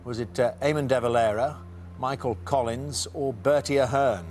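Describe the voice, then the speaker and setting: A man's voice: mid-range voice, normal speed, darkish tone, faint music behind. A man hosting a television quiz show, chatty and jokey, with frequent fillers.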